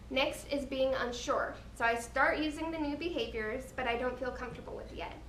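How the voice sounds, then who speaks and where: A woman giving a scripted slide presentation, explaining each point in a deliberate, earnest way. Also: high-pitched, a bit bright. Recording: roomy, distant mic with background noise.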